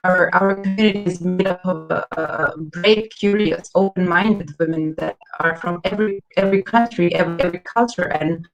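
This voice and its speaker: Woman on a video call, lowish voice, pauses and repeats words, music playing behind. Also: steady tone, highs cut off. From Croatia. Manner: halting, repeats words while searching. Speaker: community manager, panel guest. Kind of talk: online panel talk.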